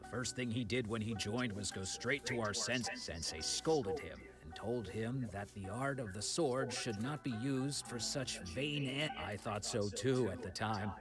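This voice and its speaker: A man's voice: medium pitch, measured pace, few pauses, over music, close to an everyday voice. An actor playing a proud, embittered swordsman in a game, speaking in a formal, guarded, brooding way. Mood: guarded, resentful. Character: proud, embittered swordsman recalling an old rival. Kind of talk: dramatic game dialogue.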